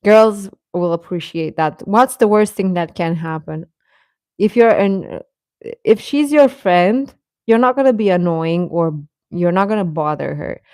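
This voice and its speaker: A woman hosting a casual livestream chat, answering viewers informally and haltingly, often repeating short phrases. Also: on the low side, a little mellow, no echo, studio quality. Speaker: livestream host chatting with viewers.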